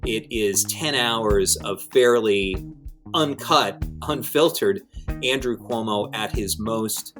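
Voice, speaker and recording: A man giving conversational news analysis as an editor, in a measured, wordy way with deliberate emphasis on key words. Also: lowish voice, fairly bright tone, soft background music. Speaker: newspaper editor-in-chief, commentator.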